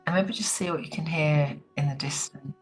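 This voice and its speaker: A woman leading a guided relaxation meditation in a soothing, gentle, unhurried manner. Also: low voice, faint music behind, rough recording.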